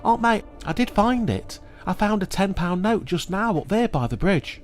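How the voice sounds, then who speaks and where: A man's voice: animated, normal speed, faint music behind. A man voicing a scared young boy denying a theft, in a storybook reading. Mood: pleading. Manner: pleading, high and upset.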